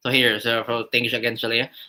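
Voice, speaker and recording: A man's voice: medium pitch, little pitch movement, quick pace, pushed and bright. A young Filipino man in his twenties joining a casual chat, teasing and laughing, with a Filipino accent in his English. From Philippines. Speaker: guest co-host. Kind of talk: casual chat, joking banter.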